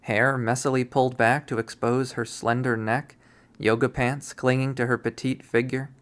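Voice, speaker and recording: A man reading a visual novel aloud as its first-person narrator, in an expressive storytelling style. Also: mid-range voice, clear, resonant tone.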